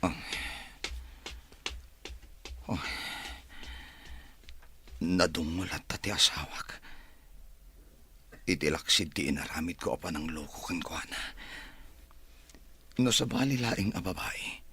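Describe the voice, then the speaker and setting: Sickbed husband for radio drama: young, feverish, slow, husky, murmuring weakly. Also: male voice, deep voice, pushed and bright, exaggerated voice. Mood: weak, miserable. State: sick with fever. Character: feverish, weak young husband lying sick in bed.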